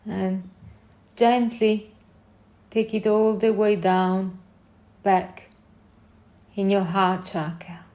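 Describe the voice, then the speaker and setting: A woman guides a meditation at a very slow pace. She speaks gently in short phrases and leaves long pauses between them, with a mid-range pitch. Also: level tone.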